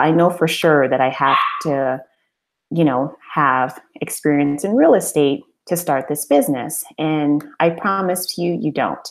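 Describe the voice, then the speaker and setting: Low-voiced woman coaching a webinar. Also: a little mellow. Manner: encouraging, conversational, with fillers and rhetorical questions. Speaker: webinar host and business coach. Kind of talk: informal webinar presentation.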